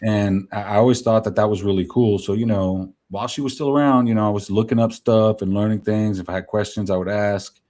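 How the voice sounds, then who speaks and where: Casting: deep, warm-toned man in his forties or fifties, Spanish as his first language, chatty and rambling guest. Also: fairly even intonation, fairly quick, few pauses. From New Jersey, US. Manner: rambling, filler-heavy, candid. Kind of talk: casual interview chat.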